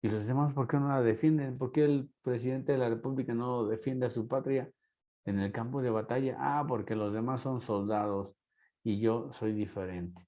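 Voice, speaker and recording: A man's voice: mid-range voice, steady tone, moderate pace, warm tone, muffled recording. A man with a Mexican accent, lecturing a class and asking rhetorical questions. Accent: Mexican Spanish. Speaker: teacher.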